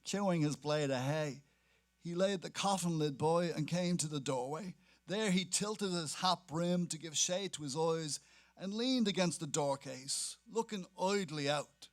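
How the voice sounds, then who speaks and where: A man's voice: fairly high pitch, unhurried. A man giving a dramatic reading of literary prose as narration. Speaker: actor reading aloud.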